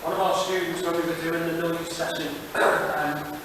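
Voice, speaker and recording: A man's voice: on the high side, little pitch movement, normal speed. Liverpool-accented man in an echoey hall. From Liverpool, England. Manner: chatty, jokey storytelling. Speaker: safety trainer. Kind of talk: anecdotal presentation. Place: meeting room, talking to an audience. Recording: echoey hall, from far off, background noise.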